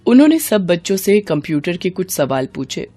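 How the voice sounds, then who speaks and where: A woman's voice: on the low side, sing-song, normal speed, mellow, faint music behind. A woman narrating a scripted moral story for children.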